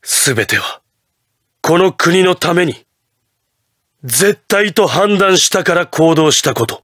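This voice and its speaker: An actor playing an imperious, ruthless patriotic president in a Japanese anime fighting game, with grandiose, theatrical declarations. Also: male voice, high-pitched, bright, forceful tone, dry recording, clean studio sound, heavily acted. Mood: earnest, resolute.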